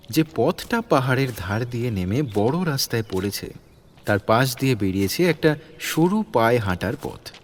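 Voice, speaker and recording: A man narrating a scripted detective story as its narrator. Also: medium pitch.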